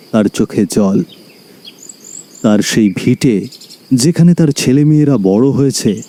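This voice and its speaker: A man narrating a scripted dramatic story in a solemn, deliberate way, with music behind him. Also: mid-range voice, warm tone, a little hiss or hum.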